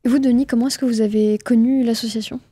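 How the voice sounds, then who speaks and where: A woman's voice: fairly high pitch, steady tone, quick pace, dark tone, breathy edge, dry recording, studio quality. A woman hosting a community radio interview show, opening with a polished introduction and asking her guests questions. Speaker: radio host. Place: radio studio.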